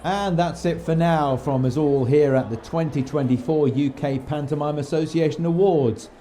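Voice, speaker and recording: Man presenting, mellow voice. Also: a little high, moderate pace. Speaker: podcast host and interviewer. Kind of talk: scripted presenting and backstage interviewing.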